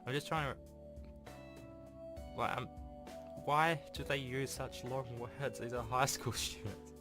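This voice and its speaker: A man's voice: medium pitch, steady tone, takes it slowly. A young man reading a visual novel aloud as a let's play host, stumbling at times and breaking off into casual asides. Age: young adult. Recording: voice over game music. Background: game music.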